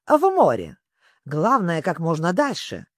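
A storyteller voicing a wistful, excitable sea turtle creature who talks in a plaintive, sobbing manner. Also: measured pace, no echo, clean studio sound. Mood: excited. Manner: sobbing, plaintive, breaking into squeaks and yells.

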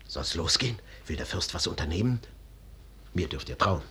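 A man acting an eager, blustering count and cavalry officer in a classical radio drama, rough and husky. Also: deep voice, lively intonation, normal speed, sharp tone, heavily acted. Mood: eager, conspiratorial.

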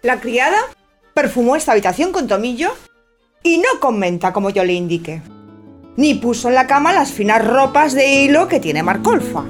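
An actress playing a sensual, haughty young bride in poetic stage drama, ardent and languid in delivery. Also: female voice, on the high side, very animated, normal speed. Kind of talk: poetic theatrical audio drama. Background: plucked guitar music.

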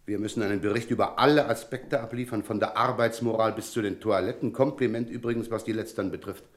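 A man's voice: on the low side, fairly even intonation, quick pace, lightly acted. An actor playing a jovial, inquisitive male auditor in a radio play, chatty and ingratiating with little jokes. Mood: smooth, chatty.

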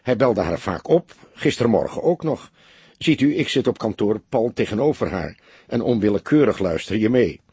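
Man voicing a bitter young woman, husky and raised. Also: fairly low pitch, lively intonation, moderate pace, warm tone, no echo, heavily acted. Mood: subdued, explaining. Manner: scornful of men, defensive. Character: young unmarried mother, bitter, upset, sober-mannered witness. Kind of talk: audiobook character dialogue.

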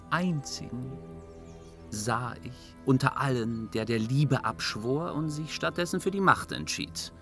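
An actor playing a sly, evasive trickster god in a fantasy radio drama, with a wily, teasing, theatrical delivery. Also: male voice, mid-range voice, fairly bright tone.

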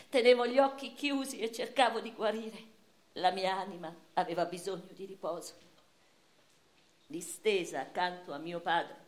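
An actress playing a weary, passionate Neapolitan noblewoman revolutionary, delivering an intense, theatrical radio monologue with deliberate pauses. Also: on the high side, lightly acted. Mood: grave, weary. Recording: roomy echo with music underneath.

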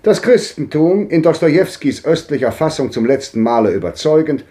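A man's voice: on the high side, expressive, normal speed, warm tone, natural voice. An actor playing a cultured, world-weary old man who speaks in composed, rhetorical monologue in a 1950s radio drama. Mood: weary, detached. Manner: declamatory, rhetorical phrasing.

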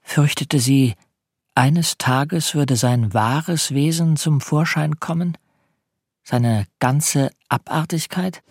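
An actor playing a gentle, ashamed middle-aged husband in a German radio drama, speaking with polite restraint. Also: male voice, on the high side, slow pace, dry recording, clean studio sound. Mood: anguished brooding. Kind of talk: German radio drama, introspective narration and dialogue. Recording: music behind the voice.

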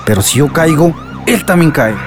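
An actor playing a scheming, cornered fugitive in a radio drama, muttering to himself, irritable and coarse. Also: male voice, a little high, expressive, normal speed, noisy background. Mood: agitated, scheming.

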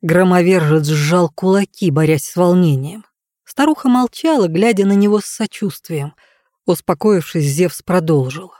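A woman narrating a fairy tale as an audiobook reader, in an expressive scripted style. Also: lowish voice, moderate pace, no room sound, clean studio sound.

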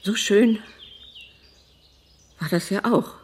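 Older mother, very husky, weary, headstrong, reticent, fragmentary phrases, radio drama. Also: medium pitch, takes it slowly, darkish tone. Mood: wistful. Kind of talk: naturalistic radio drama acting.

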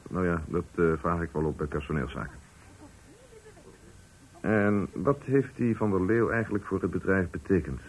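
An actor in a radio play playing a calculating, condescending company director, speaking formally with a husky voice. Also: male voice, deep voice, expressive, moderate pace, long pauses, poor audio. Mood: brisk, condescending. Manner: formal, smooth-talking, patronising. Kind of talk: Dutch radio play acting.

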